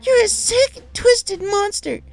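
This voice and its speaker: Shrieking, very high pony spirit. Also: female voice, takes it slowly, vocal fry, soft background music, heavily acted. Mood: furious. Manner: shrieking, fierce. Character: frantic, righteous, high-voiced female pony spirit. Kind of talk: dramatic fan fiction audio reading.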